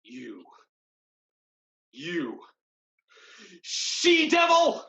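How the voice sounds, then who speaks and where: A woman's voice: on the low side, wildly swooping pitch, drawn-out words, sharp tone, muffled recording, full character voice. A woman playing an arrogant, scheming male pirate lord in a staged play reading, smug and theatrical. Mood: panicked.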